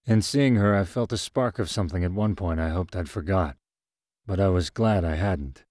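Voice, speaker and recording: Deep-voiced male storyteller, calm. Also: normal speed, no echo, studio quality. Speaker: narrator. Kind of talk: scripted narration of a short story.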